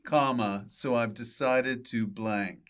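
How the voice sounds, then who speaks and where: A man hosts a casual comedy podcast with a smooth, clear voice at an average pitch. He talks informally and playfully, in a jokey conversational way. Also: takes it slowly. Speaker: podcast host. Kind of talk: casual comic podcast chat.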